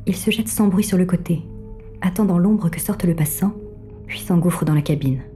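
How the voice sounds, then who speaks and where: An actress playing a covert, calculating female artificial intelligence, speaking in measured, formal phrases as she narrates an audio drama. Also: lowish voice, warm tone, faint music behind, close to an everyday voice. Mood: guarded, focused. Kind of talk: scripted audio drama narration in first person.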